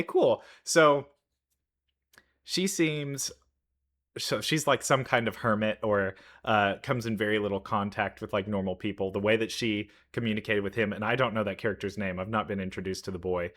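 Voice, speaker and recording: A man's voice: fairly low pitch, normal speed, a bit bright. A man giving casual game reaction commentary, musing and thinking aloud as he goes. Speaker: reaction video host.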